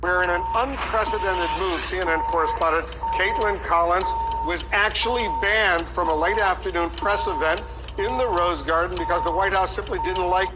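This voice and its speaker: A man with a high voice delivers scripted, news-style narration. The recording is dull, and loud music plays right along with him. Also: normal speed.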